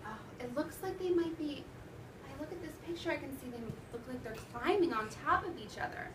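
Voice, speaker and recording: A woman's voice: sits high, expressive, brisk. A woman teacher reading a story aloud to children, wondering aloud and prompting them as she goes. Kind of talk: interactive read-aloud to children. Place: classroom with children present. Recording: roomy, noisy classroom from far off.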